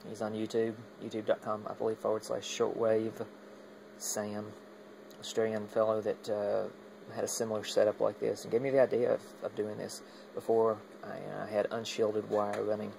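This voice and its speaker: A man's voice: lowish voice, completely flat delivery, normal speed, creaky, darkish tone, slight background noise, poor audio. A man casually demonstrating his radio gear in an unscripted, rambling way, often hesitating and trailing off mid-thought. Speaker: amateur radio hobbyist demonstrating equipment.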